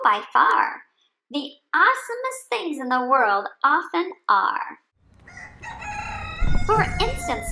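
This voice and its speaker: A woman's voice: sits high, heavy background noise, voice pushed up, heavily acted. A woman voicing an imaginative, cheeky young schoolboy who breezily tells tall tales in a picture book read-aloud. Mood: confident, boastful. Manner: breezy, confident, exuberant. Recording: roomy sound under clear music.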